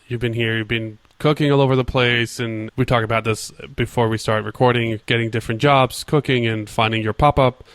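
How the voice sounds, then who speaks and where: A man's voice: mid-range voice, a bit bright, smooth, no echo. A man in a mid-range voice hosts a casual podcast, asking probing follow-up questions and sometimes stumbling over his words. The sound is dry and close, with no room around him. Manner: curious, asks follow-up questions, some stumbles. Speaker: podcast host, interviewer. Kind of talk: casual interview.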